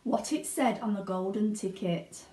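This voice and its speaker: A woman giving an expressive narration of a children's storybook. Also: mid-range voice, a little slow. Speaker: teaching assistant reading aloud. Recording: roomy indoor echo.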